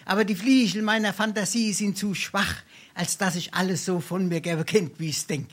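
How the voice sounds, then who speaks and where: An actor playing a brazen, silver-tongued sponger and drinker in a Darmstadt dialect stage comedy, speaking South Hessian with mock-pompous flourishes. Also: male voice, sits high, fairly even intonation, moderate pace, fairly bright tone. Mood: mock-romantic wooing. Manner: florid mock-pompous speech, theatrical sighs.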